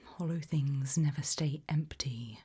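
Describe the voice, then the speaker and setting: Whispering, breathy woman doing slow ASMR. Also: low-pitched. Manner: slow, measured, quietly ominous. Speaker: ASMR host playing a fear-test examiner. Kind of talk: whispered ASMR role-play, addressing the listener.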